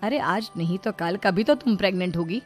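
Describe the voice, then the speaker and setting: A woman's voice: medium pitch, fairly quick, natural voice. A woman voicing a crafty, firm middle-aged mother-in-law in a cartoon story, coaxing and pleading by turns. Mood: worried. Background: faint background music.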